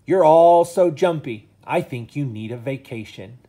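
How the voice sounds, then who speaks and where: A man reading aloud and voicing a cheery, teasing owl in a storybook, in a hooting, high-pitched voice. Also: expressive, unhurried, mellow.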